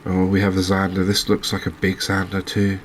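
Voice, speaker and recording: A man giving relaxed ASMR commentary over a fishing video game, chatting to viewers and often checking in with tag questions. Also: low voice, brisk, a little hiss or hum. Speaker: gaming video host.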